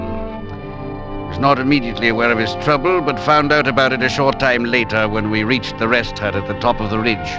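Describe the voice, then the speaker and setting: An actor playing a composed, probing physician in old radio drama style, measured, formal and analytical. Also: male voice, medium pitch, close to an everyday voice, poor audio. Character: composed, probing male physician, husband and narrator. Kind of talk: 1940s-50s radio drama narration and dialogue.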